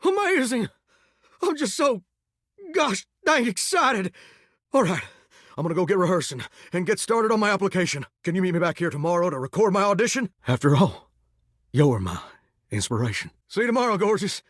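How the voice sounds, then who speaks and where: Bubbly male showerhead in a dating game, high and bouncy, folksy drawl, dry studio sound. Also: sing-song, normal speed, fairly bright tone, no room sound, exaggerated voice. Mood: upbeat. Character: eager male talking showerhead with big pop-star dreams. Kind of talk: game voice acting.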